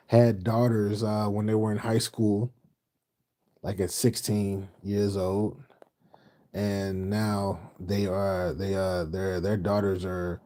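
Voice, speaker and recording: A man's voice: on the low side, little pitch movement, extremely slow, long pauses, dark tone. A middle-aged man from Houston, casually chatting on a late-night livestream and reading comments. He talks loosely in slang, repeating his phrases and trailing off mid-thought. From Houston, Texas. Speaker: livestream host reading chat.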